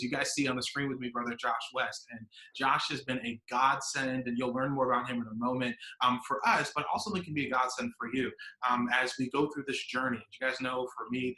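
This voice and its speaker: A man's voice: mid-range voice, pushed and bright, reverberant, low-quality recording. An upbeat American man, a pastor from Wisconsin, hosting an informal conversational interview over a video call. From Wisconsin, United States. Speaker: pastor and host.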